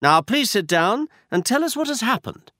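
A confident male detective, voiced by a man narrating, brisk and instructive, always a step ahead. His lines sit high and leap about in pitch. The sound is dry and up close. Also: sing-song, bright, forceful tone, no room sound, exaggerated voice. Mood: assured.

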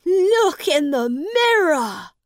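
Woman playing storybook boy prince, lordly, eager, very high, slow and emphatic, dry close sound. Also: very animated, no echo, exaggerated voice. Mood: excited, eager. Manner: emphatic, imperious, drawn-out words. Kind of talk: storybook character voice.

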